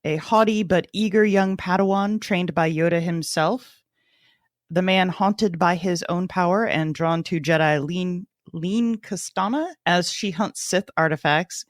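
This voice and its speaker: A woman with a slightly low voice co-hosts a book discussion podcast. She speaks conversationally, and the sound is close and dry, with very little room in it. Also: no room sound. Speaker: podcast co-host. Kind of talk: casual book club discussion.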